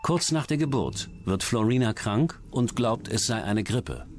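A man's voice: medium pitch, very animated, unhurried. A man reading scripted narration as a documentary narrator. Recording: music behind the voice. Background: background music.

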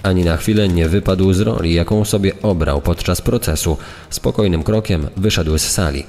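A man's voice: low-pitched, moderate pace, darkish tone. A man reading a scripted true-crime documentary text as a narrator. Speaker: second narrator. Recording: reverberant hall effect.

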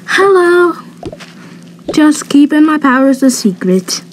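A woman's voice: high-pitched, big pitch swings, slow, slight background noise, poor audio. A woman acting out lines in a homemade cartoon roleplay.

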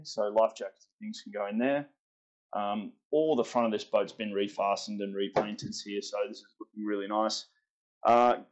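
A young man with an Australian accent and a somewhat low voice talks easily through a tour of his boat's cabins. He keeps a casual, unhurried flow as he points things out, the sound carrying the close feel of small wooden rooms. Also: rough recording. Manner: relaxed, chatty, pointing out features as he walks. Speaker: boat owner giving a tour. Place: inside a timber boat's cabins.

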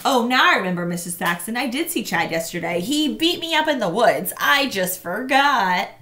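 A woman's voice: high-pitched, sing-song, normal speed, pushed and bright, voice pushed up, exaggerated voice. A woman reading aloud, voicing a stubborn schoolboy who talks curtly and defensively. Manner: curt, snapping, defensive.

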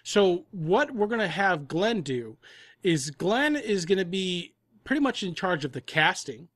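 A man's voice: high-pitched, sing-song, a little slow, a bit bright, dry recording, clean studio sound. A man giving conversational interview answers as a guest producer, explaining things at length in rambling detail. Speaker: audio drama producer and composer, guest.

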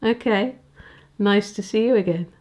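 A woman in her forties, a vicar, chatting to camera in a small room at home, with small halting restarts. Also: medium pitch, a little mellow. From England. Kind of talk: casual vlog to camera. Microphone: handheld recorder near the camera.

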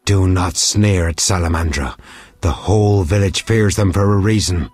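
An actor playing a stern, zealous old priest of a fire cult in a fantasy game, solemn and preachy. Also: male voice, low voice, normal speed, darkish tone, breathy edge, no echo, faint music behind. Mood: solemn, scornful. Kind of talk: fantasy video game dialogue.

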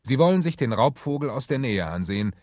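A man's voice: medium pitch, very animated, fast talker, a little mellow, no echo, studio quality, close to an everyday voice. A man narrating a children's adventure radio play from a script. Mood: measured. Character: male narrator of adventure story.

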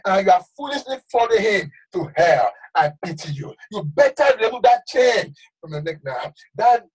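A man preaching a sermon with fervor, shouting one moment and dropping to hushed warnings the next. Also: high voice, lively intonation, moderate pace, slightly rough. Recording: voice from far off the mic.

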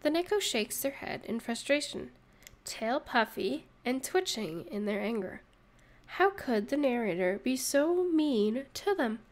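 Woman acting a high-pitched, sarcastic, teasing narrator. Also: very animated, a little slow. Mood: mock drama. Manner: sarcastic, teasing, mock-sympathetic. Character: sarcastic, mocking narrator gleefully needling a hungover catgirl. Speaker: voice actress. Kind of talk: scripted comedic roleplay narration.

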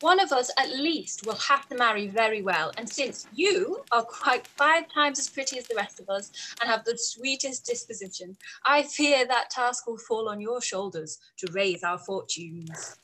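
A young woman playing a witty, frank, playful gentlewoman in a period drama. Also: on the high side, lively intonation, moderate pace, hardly any pauses. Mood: affectionate, frank. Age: young adult. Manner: arch, playful. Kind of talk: play read-through, period drama. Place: indoor room by a window, over video call. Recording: noisy video-call audio.

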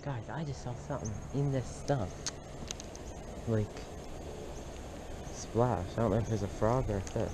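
A young man narrating casually to viewers while fishing outdoors, with relaxed, muttered asides, recorded on a head-mounted camera. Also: fairly low pitch, unhurried, long pauses. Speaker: fishing vlogger. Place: outdoors by a lake and river. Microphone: head-mounted action camera. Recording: noisy outdoor action-camera audio. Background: outdoor ambience.

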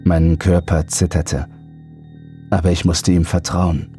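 Deep-voiced man narrating horror. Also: flat delivery, slow, dark tone, faint music behind. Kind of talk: dramatic scripted first-person narration.

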